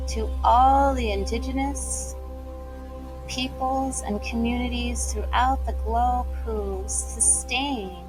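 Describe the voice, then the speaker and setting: A woman leading a guided meditation in a slow, soothing, inviting way. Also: mid-range voice, low-quality recording. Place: Bali, Indonesia.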